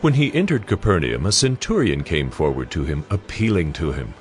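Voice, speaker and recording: A man's voice: sits low, very animated, fairly quick, faint music behind. A man narrating scripture from a script in an audio drama.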